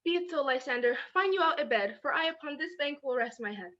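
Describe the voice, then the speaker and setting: Very high, bright young heroine in a stage play, played by a woman, dull lo-fi sound. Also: expressive, fast. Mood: gentle. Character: young noblewoman, modest and devoted. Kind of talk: Shakespearean stage acting.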